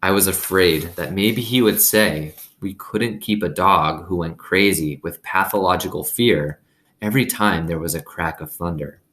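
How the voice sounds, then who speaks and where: A man with a low-pitched voice reads a children's novel aloud. He narrates expressively, as a storyteller would for young listeners. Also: moderate pace, a bit bright.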